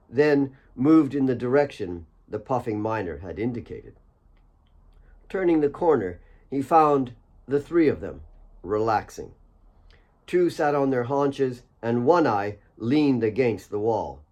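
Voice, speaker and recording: A man's voice: mid-range voice, a little mellow. A man reading his own novel as an audiobook, in scripted dramatic narration.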